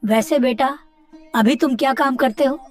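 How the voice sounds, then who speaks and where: A woman voicing a kindly older village uncle in a cartoon story, giving gentle moral advice. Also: high voice, normal speed, faint music behind, lightly acted. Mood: caring. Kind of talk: dramatised cartoon story dialogue.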